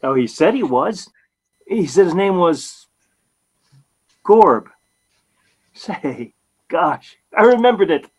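An actor playing a sheepish, excitable young husband in an old radio comedy, hesitant, boyish and earnest. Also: male voice, sits high, slow pace, pauses often, full character voice. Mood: excited. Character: sheepish, excitable, bumbling young husband, loyal to his wife. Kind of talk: 1940s radio comedy acting.

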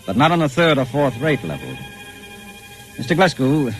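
An actor playing a pragmatic, reassuring friend in a 1950s radio drama, with a casual, wry, coaxing manner. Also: male voice, medium pitch, very animated, moderate pace, loud music behind the voice, natural voice. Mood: matter-of-fact. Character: pragmatic, reassuring male friend of a troubled painter.

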